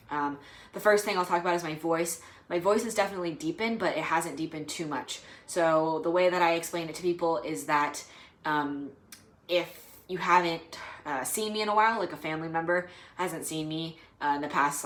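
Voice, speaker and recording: A young adult woman vlogging casually to the camera, rambling and hesitant with lots of fillers. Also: low-pitched. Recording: roomy echo.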